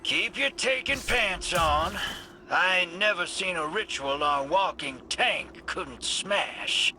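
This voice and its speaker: Sly drawly rogue, pretty high voice, folksy wisecracks over loud game music. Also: male voice, lively intonation, takes it slowly, heavily acted. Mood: cocky, encouraging. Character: folksy, sly male rogue guiding a hero. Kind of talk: video game voice acting.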